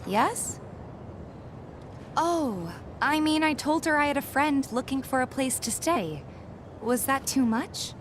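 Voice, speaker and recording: An actress playing a friendly, caring young woman in a video game, speaking gently and conversationally with slight hesitations. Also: high voice, lively intonation, slow, long pauses, slight background noise. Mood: warm, helpful. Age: young adult. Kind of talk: video game cutscene voice acting. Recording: background music under dialogue. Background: game music.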